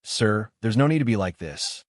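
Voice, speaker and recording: A man voicing a young slave girl of a nonhuman clan in a fantasy audiobook, formal, deferential and quietly confident. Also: fairly low pitch, expressive, moderate pace, no echo, clean studio sound, close to an everyday voice. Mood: deferential, explaining.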